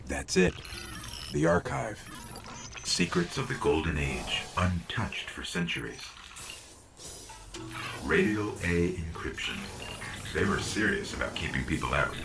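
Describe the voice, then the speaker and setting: An actor playing a small, curious robot companion in a video game, speaking in clipped, inquisitive phrases over music. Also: male voice, sits low, sing-song, unhurried, creaky, long pauses, full character voice. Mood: curious, awed. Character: small male robot companion, curious, brisk, quietly awed. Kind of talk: video game character dialogue. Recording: loud music behind the voice.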